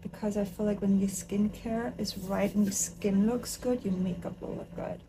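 Makeup artist host, woman from Austria, medium pitch, chatty, faint room hiss. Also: steady tone, normal speed, mellow, rough recording. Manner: chatty, enthusiastic, talks while working with her hands. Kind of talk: casual chat while doing makeup.

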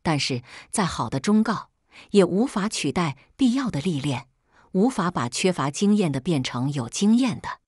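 Female audiobook narrator, slightly low and breathy-rough, reflective scripted reading, very dry sound. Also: big pitch swings, normal speed, a little mellow, dry recording. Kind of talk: scripted first-person book narration.

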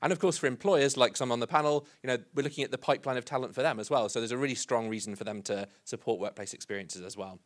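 Fast-talking male panel moderator. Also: medium pitch. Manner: quick, upbeat, with fillers. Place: museum event space with a live audience. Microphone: handheld microphone.

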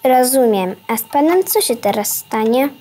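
A woman's voice: on the high side, animated, normal speed, warm tone, faint music behind. A woman playing a bold, inquisitive seven-year-old girl in a children's audio drama, with a childlike manner.

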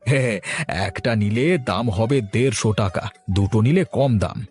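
A man's voice: on the low side, expressive, measured pace, a little mellow, soft background music. A man playing a friendly travelling clothes seller in a Bengali cartoon, with polite, persuasive sales patter. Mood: coaxing.

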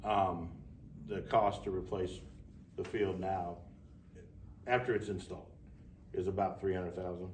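Low-voiced man reasoning at a board meeting. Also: steady tone, unhurried, long pauses, darkish tone, slight background noise. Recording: echoey room, far from the mic.

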